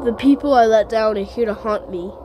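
A woman voicing a despairing, haunted male villain with a slow, brooding manner in a fan-dubbed game cutscene. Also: a little high, noisy background, voice pushed down, lightly acted. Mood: haunted, remorseful. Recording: loud music over the voice.

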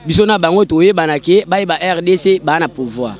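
A man's voice: on the high side, animated, a little fast. A Congolese man speaking proudly and emphatically about his country's team into a handheld mic outdoors. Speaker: interviewee, football fan. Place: outdoors on a city street. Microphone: reporter's handheld mic.

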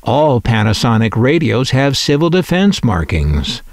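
A man presenting and reading catalog copy aloud as scripted narration, in a deliberate, itemized way. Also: mid-range voice, sing-song, no room sound, clean studio sound.

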